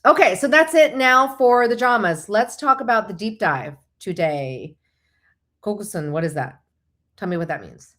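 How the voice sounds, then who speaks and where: A woman's voice: medium pitch, animated, normal speed, fairly bright tone. A woman whose first language is Korean, hosting a casual, chatty livestream, often digressing and thinking aloud as she replies to viewers. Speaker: host of a live online show; licensed therapist.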